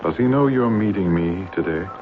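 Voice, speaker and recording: A man's voice: sits low, expressive, normal speed. An actor playing a concerned, questioning male friend in a radio drama. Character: worried, probing male friend of a married woman. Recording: narrowband old radio, faint noise.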